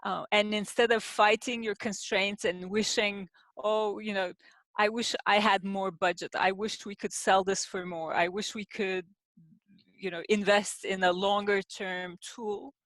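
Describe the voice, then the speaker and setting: A woman, an industrial designer answering as a podcast guest, explaining her work in a measured, thoughtful way with pauses. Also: mid-range voice, fairly even intonation, fairly bright tone, no room sound. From Turkey. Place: home in the city, sheltering in place.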